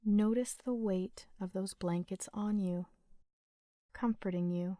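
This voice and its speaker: Soft woman doing a sleep meditation, super slow n even, lots of pauses, soothing. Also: medium pitch, no room sound, clean studio sound. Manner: gentle, soothing, unhurried, with long pauses. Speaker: meditation guide.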